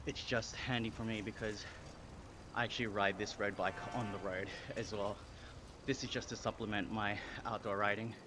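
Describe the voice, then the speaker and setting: A man's voice: fairly low pitch, little pitch movement, normal speed, background noise. A man in his thirties with an Australian accent, chatting casually on a live stream while riding an indoor bike trainer, a little out of breath. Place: home indoor cycling setup.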